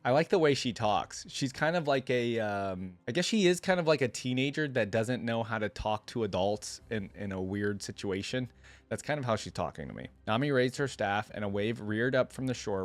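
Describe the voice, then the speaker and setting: A man's voice: on the low side, expressive, fairly quick. A man hosting a reaction video, reading a story aloud and breaking off into casual, musing commentary with hesitant asides.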